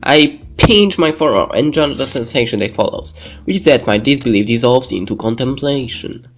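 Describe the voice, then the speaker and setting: Music plays behind a man of average pitch who reads a visual novel aloud as host of a let's play. He sounds under the weather and reads haltingly, stumbling over words now and then. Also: lively intonation. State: sick. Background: background game music.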